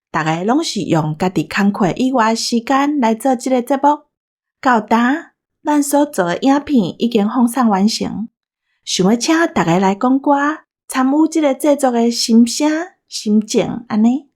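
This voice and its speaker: Female co-host, Taiwanese accent, higher-pitched voice, bossy teasing banter. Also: darkish tone. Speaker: co-host of a radio drama cast special. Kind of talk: casual hosting banter.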